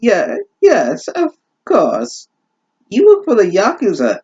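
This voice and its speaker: A man's voice: high voice, huge pitch swings, takes it slowly, a little mellow, slightly rough, lo-fi sound, pitched above the performer's own voice, full character voice. A man voicing a lovestruck anime character in a gushing, exaggerated, syrupy sweet way.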